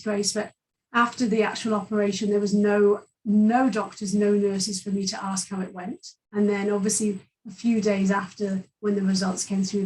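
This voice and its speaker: A woman's voice: medium pitch, distant mic, poor audio. Chatty Welsh woman, slightly off-mic. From Wales. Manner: open, chatty storytelling with many fillers. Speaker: guest. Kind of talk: personal story in an interview.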